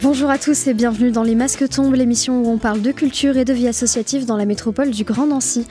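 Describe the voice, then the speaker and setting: A woman's voice: a little high, steady tone, fast talker, dark tone, faint music behind. A woman hosting a community radio interview show, opening with a polished introduction and asking her guests questions. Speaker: radio host. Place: radio studio.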